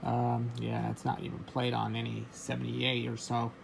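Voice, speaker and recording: Man, gravelly voice, offhand and halting, reading record titles, faint background hiss. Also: mid-range voice, completely flat delivery. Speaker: record collector. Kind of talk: casual show-and-tell vlog.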